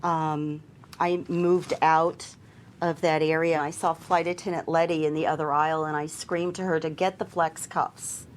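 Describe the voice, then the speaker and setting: A woman, a flight attendant, giving a measured and deliberate account in a documentary interview. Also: deep voice, little pitch movement, slight background noise.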